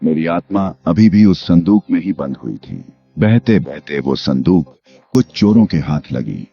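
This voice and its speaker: A man playing the grave, restless ghost of a murdered man, speaking in a measured way in an animated story. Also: low-pitched, gravelly, full character voice. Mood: grave, sorrowful. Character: murdered man's restless ghost bound to a chest, solemn. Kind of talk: animated Hindi moral story acting. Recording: dull lo-fi audio with music behind.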